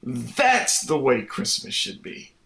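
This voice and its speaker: Man acting an animated, exasperated sitcom dad. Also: medium pitch, slow pace, creaky, a little hiss or hum, heavily acted. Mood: nostalgic. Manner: wordy, mock-formal. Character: exasperated, nostalgic middle-aged family father. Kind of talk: vintage radio sitcom acting.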